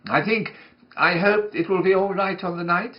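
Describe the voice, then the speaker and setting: A man performing a comic monologue as a prim, patient, brightly flustered woman nursery teacher, coaxing and gently scolding small children. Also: sits high, normal speed, highs cut off, close to an everyday voice. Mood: weary, hopeful.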